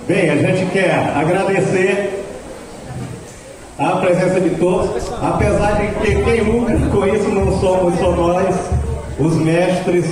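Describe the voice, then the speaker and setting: A bumba meu boi master giving a slow, solemn thank-you speech to an audience. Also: male voice, sits high. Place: cultural center hall. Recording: echoey hall, distant mic, crowd.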